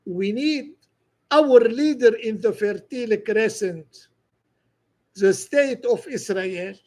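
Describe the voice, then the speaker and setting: A man's voice: very high voice, a little mellow. A man from northern Lebanon with a Lebanese accent, giving a political monologue in broken English with some French phrases.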